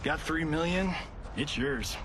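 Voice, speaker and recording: A brash movie-star fighter, played by a man, with a fairly high male voice. He is flippant and cocky, wisecracking his way through a game cutscene, with noisy ambience around him. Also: a little slow, close to an everyday voice. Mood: teasing, smug. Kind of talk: video game cutscene acting.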